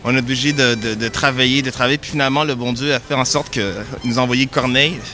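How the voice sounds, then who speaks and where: A man's voice: medium pitch, fairly even intonation, fast talker, music in the background. A young man of twenty-three, a singer and theatre student, talking earnestly with fillers. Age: 20s. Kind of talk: casual interview.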